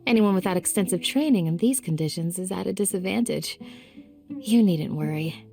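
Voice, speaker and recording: A woman voicing a young village guardian in a game, calm, courteous and firm, with polite, measured phrasing. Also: on the low side, gravelly, faint music behind. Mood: composed.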